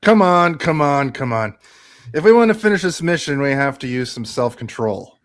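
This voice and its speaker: Cocky commander, animated higher male voice acted. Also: moderate pace, dry recording, studio quality. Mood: exasperated. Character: cocky, womanizing male lead actor, self-styled commander. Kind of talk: live radio theatre script read.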